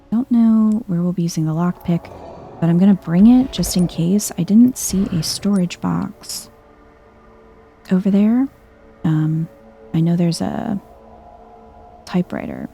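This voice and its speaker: A soft-spoken woman giving hushed, chill ASMR-style video game commentary, thinking aloud to herself. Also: fairly low pitch, gravelly.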